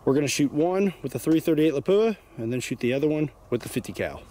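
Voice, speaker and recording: Young man, kentucky accent, plainspoken, slightly high voice, open field, faint background noise. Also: lively intonation, fast, warm tone. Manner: plainspoken, easygoing, thinks aloud. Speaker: shooting channel host. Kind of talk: casual outdoor demo narration. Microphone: camera mic, sometimes at a distance.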